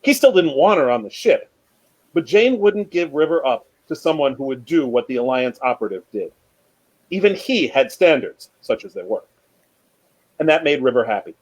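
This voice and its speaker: A man speaking as a panelist, chatting casually and reading book excerpts aloud in a measured, storytelling way. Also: medium pitch, big pitch swings, a little mellow, low-quality recording. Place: remote online panel from home.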